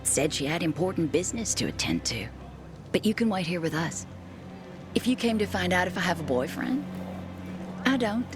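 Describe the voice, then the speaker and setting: A woman's voice: on the low side, very animated, moderate pace, pauses often, music in the background. Aloof snarky young woman in a game, blunt n dry, very up and down intonation. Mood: aloof, teasing. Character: sardonic, aloof young woman, quick to rebuff. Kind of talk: video game character acting.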